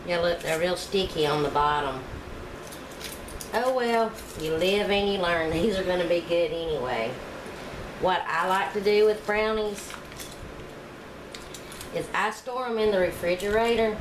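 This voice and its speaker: A woman's voice: lowish voice, unhurried, long pauses. A woman, chatting homey and casual as she demonstrates baking in her home kitchen. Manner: chatty, homey, talks to viewers while working. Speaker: home cooking vlogger. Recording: echoey kitchen with background noise.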